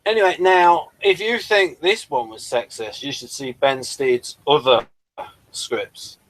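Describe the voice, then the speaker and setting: A man's voice: mid-range voice, measured pace, no room sound, poor audio. Dry-sounding man hosting a casual chat. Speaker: podcast host.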